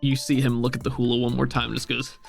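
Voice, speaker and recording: Casual male game master, medium pitch. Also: completely flat delivery, fast, creaky, soft background music. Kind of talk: casual tabletop game narration.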